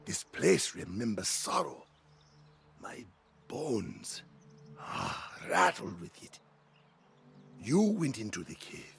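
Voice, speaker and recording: An actor playing a cryptic, haunted old hermit fisherman in a video game, muttering riddles in a gravelly, halting, brooding way. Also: fairly low pitch, very animated, a bit bright, slight background noise, full character voice. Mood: haunted, wondering. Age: older. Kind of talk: dramatic game cutscene acting.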